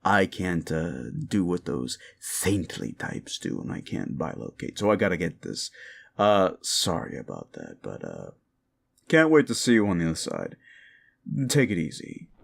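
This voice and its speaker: A man playing a sly, mocking monster demon in an audio roleplay, with a theatrical, drawn-out, taunting delivery. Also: low voice, huge pitch swings, vocal fry, full character voice. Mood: casual, dismissive. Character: sly, mocking classic monster demon, male, summoned to grant wishes. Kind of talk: scripted audio roleplay, ASMR.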